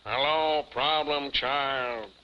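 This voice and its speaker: A man voicing a droll, sardonic robot puppet with a deadpan drawl in a 1950s television show. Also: mid-range voice, extremely slow. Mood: dry, sardonic. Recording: muffled, hissy 1950s television soundtrack.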